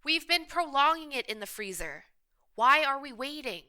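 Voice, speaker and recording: A woman's voice: high voice, sing-song, moderate pace, sharp tone, exaggerated voice. A woman playing a bossy, blunt, hard-nosed restaurant manager in a radio play. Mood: argumentative. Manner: blunt, assertive.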